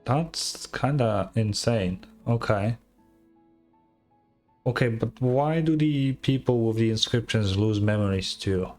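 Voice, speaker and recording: Female streamer, strikingly low pitch, offhand live commentary, understated, music in the background. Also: lively intonation, slow, darkish tone. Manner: low-key, brief interjections. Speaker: streamer reacting to a game. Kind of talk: casual live reaction commentary. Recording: game music behind.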